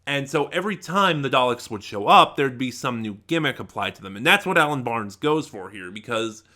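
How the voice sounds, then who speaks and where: Bright-voiced man, halting and rambling. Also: mid-range voice. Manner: halting, rambling, trailing off mid-thought. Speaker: YouTube reviewer. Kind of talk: unscripted audio drama review, casual talk to viewers.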